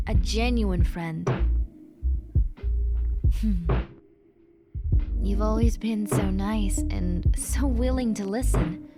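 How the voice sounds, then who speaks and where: Woman playing a slow-talking stressed best friend over music. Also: medium pitch. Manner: conversational, sighing, rambling, earnest. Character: stressed, caring young female best friend venting. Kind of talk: intimate audio roleplay addressed to the listener.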